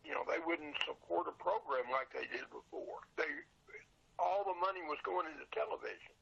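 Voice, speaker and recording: An older man with a raspy, gravelly voice talking casually and plainly as an interview guest over a dull phone line. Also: high voice, wildly swooping pitch, brisk, a bit bright. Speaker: podcast guest, old-time radio collector.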